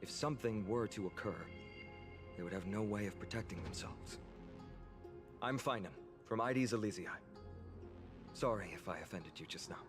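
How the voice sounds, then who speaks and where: An actor playing a cautious, earnest young male warrior in a video game, speaking in a polite, measured way. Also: fairly low pitch, natural voice. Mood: earnest, calm. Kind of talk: scripted video game character acting. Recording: game music behind the voice. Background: game music.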